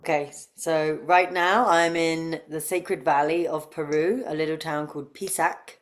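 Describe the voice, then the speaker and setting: Englishwoman, 30s, low-pitched voice, conversational interview guest. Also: steady tone, unhurried. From UK, grew up near London. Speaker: interview guest, breathwork facilitator.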